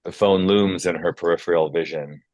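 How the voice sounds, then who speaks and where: A man's voice: sits low, steady tone, moderate pace, a little mellow, no room sound. A man narrating stage directions at a script table read.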